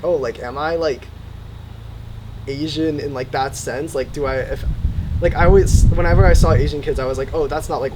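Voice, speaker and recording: A man's voice: mid-range voice, a little mellow. A teenage boy, giving a documentary interview in a rambling way, full of fillers. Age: teens. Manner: filler-heavy, many likes. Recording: noisy street and air-conditioner hum.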